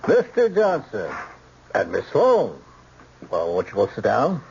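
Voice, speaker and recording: An actor playing a folksy small-town police lieutenant, affable, chatty and deferential, in a radio drama. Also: male voice, fairly low pitch, wildly swooping pitch, unhurried, vocal fry, a little mellow, exaggerated voice. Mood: genial, welcoming. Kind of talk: 1970s radio drama acting. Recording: dull, faintly noisy old radio recording.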